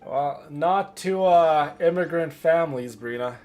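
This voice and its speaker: A man's voice: on the high side, a little slow, a little mellow. Playful man streaming a game. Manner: playful banter with chat. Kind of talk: casual livestream chat over gameplay. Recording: faint game music behind. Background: game music.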